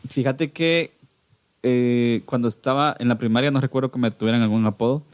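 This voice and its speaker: A young Guatemalan man talks in an easy, informal way as a podcast guest. He sounds nervous and laughs a lot, joking at his own expense. His voice sits at an ordinary middle pitch for a man. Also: steady tone, fairly quick, clear, resonant tone. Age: 20s. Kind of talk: casual conversational interview.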